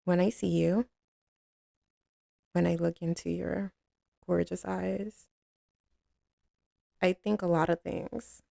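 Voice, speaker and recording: Woman acting female mob boss, gravelly fry, close dry sound, streetwise, teasing, tender, ASMR roleplay. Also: lowish voice, steady tone, takes it slowly, long pauses, warm tone, no room sound, exaggerated voice. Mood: affectionate, reminiscing. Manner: drawling, teasing, streetwise, with pet names. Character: tough, commanding female mafia boss, tender with her boyfriend. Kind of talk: slow, intimate ASMR roleplay.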